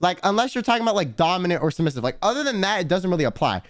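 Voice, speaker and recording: Animated slangy young man streamer. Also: high voice, very fast, no room sound, clean studio sound. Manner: slangy, rambling, reads chat aloud. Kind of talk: casual late-night chat stream. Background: faint background music.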